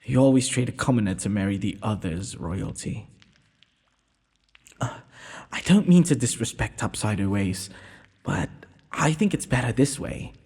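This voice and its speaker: A man playing a gentle, courteous young prince in a romantic audio roleplay, speaking formally and a little hesitantly. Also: fairly low pitch, expressive, normal speed, pauses often, heavily acted. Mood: earnest, dutiful. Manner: formal, polite, breathy speech with hesitations. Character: gentle, courteous young underground prince, nervous, earnest suitor. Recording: long hall-like reverb.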